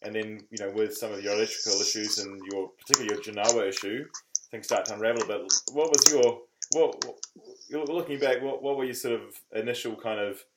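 A man's voice: fairly low pitch, steady tone, fast, a little hiss or hum, low-quality recording. A man hosting a sailing podcast, asking questions in a chatty, folksy way. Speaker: podcast host. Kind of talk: casual interview.

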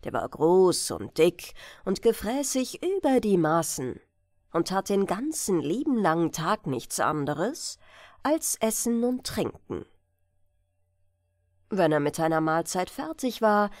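A woman narrating a fairy-tale audiobook for bedtime with expressive, deliberate phrasing. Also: on the low side, dry recording, studio quality.

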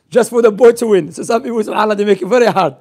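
High-pitched young male religious teacher. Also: quick pace, a little mellow. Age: young adult. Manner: non-native English sprinkled with Arabic terms. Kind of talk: informal Islamic lesson with storytelling and quiz questions. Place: small indoor library room. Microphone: gooseneck microphone close to the mouth.

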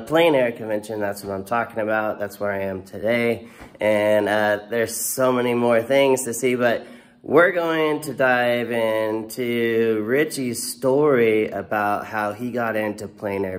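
A man in his forties hosting, giving an upbeat intro and asking interview questions over a video call. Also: medium pitch. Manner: enthusiastic, quick, with fillers. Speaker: host. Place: indoor room, video call from a home studio. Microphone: webcam on video call.

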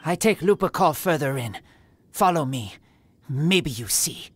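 A terse wild boy of the wolves for a fantasy game. He speaks slowly in halting, broken phrases, his earnest voice swinging in pitch. Music plays behind him. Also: expressive. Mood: somber. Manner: halting, broken short sentences. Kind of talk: fantasy video game acting.

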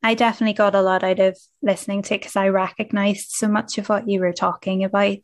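A woman hosting a podcast interview, talking in a warm, encouraging, chatty way. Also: mid-range voice, level tone, fast talker, no room sound, studio quality. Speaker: podcast host. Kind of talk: conversational interview.

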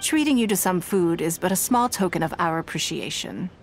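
A woman voicing a poised, elegant noblewoman leader in a video game, composed, gracious and measured. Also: lowish voice, lively intonation, soft background music. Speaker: game character.

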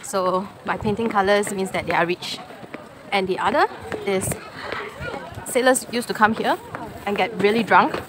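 A woman's voice: medium pitch, normal speed, long pauses. A woman chatting casually to viewers while walking outdoors, laughing easily. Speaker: vlogger. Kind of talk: casual travel vlog narration. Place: outdoors on a windy city harbourfront. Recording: loud wind and street noise.

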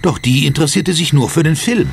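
A man's voice: on the high side, expressive, fairly quick, vocal fry, slight background noise. A man narrating a horror audio drama in a suspenseful, theatrical manner. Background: music.

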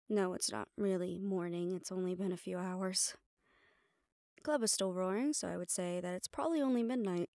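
A woman's voice: on the low side, moderate pace, long pauses, mellow, no room sound, studio quality, close to an everyday voice. A woman playing a composed, protective assistant to a mafia boss in an intimate audio roleplay, speaking firmly and reassuringly straight to the listener. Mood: reassuring, teasing. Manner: firm, reassuring, addressing the listener directly.

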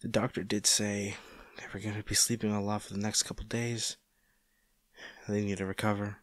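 A man's voice: on the low side, little pitch movement, moderate pace, a bit bright, no echo, close to an everyday voice. A man acting a caring, worried boyfriend in an intimate audio roleplay, speaking tenderly and coaxingly to his injured partner. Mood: soft concern. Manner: tender, coaxing, hesitant, speaking directly to the listener.

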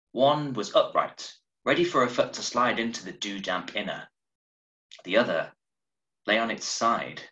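A man in his thirties reading a short story aloud in a measured, dramatic way, recorded at home over a video call. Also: lowish voice, pushed and bright. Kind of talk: expressive audiobook-style story narration. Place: small room at home, indoors. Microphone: video call from a desk, wearing earphones. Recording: roomy, echoing home webcam recording.

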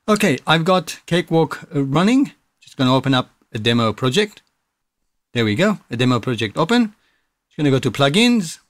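Higher-pitched man presenting a casual tutorial. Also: no room sound. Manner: explanatory, step-by-step.

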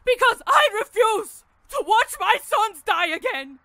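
A woman acts as a grieving, fiercely protective mother in a survivor drama. Her voice is very high and closely recorded without room sound. She speaks confrontationally and with raw emotion. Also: animated, a little slow, pushed and bright, no echo, heavily acted. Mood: anguished anger.

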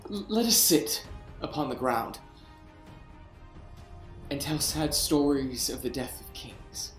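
An actor playing a proud young king in grief, reading Shakespeare verse from home over a video call, moving from hushed brooding to anguished outbursts. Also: a little high, expressive, slow. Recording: roomy echo, faint music.

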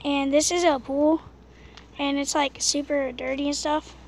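A woman with a high, gravelly voice films an outdoor vlog on a handheld phone beside a pool. She talks loosely, full of fillers, and drops to a hush while she searches the water. Faint outdoor noise sits behind her. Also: fairly even intonation, unhurried. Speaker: vlogger. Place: outdoors by an abandoned pool on rural land. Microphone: handheld camera phone filming the water.

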